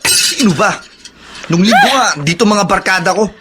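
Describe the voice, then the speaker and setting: A man's voice: sits high, animated, moderate pace, noisy background. An actor playing a blunt, resentful young man with a Filipino accent, complaining defiantly in a radio drama.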